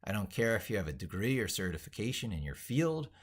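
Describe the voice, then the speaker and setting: Low-voiced man, emphatic and repetitive. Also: sing-song, fast talker, slightly rough. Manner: emphatic, declarative, repeats and reworks phrases. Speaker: tech educator presenter. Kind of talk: drafting and reading aloud an opinionated monologue while typing.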